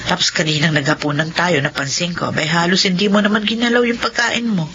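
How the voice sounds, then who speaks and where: A woman playing a concerned, observant mother in a Filipino radio drama, gently questioning. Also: lowish voice, steady tone, brisk, lightly acted. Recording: dull lo-fi radio with noise.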